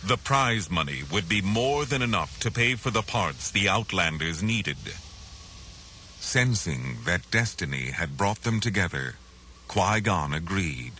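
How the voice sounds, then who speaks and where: A man reading a scripted children's adventure story as narrator, in a dramatic, measured way. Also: low-pitched, expressive, sharp tone, a little hiss or hum.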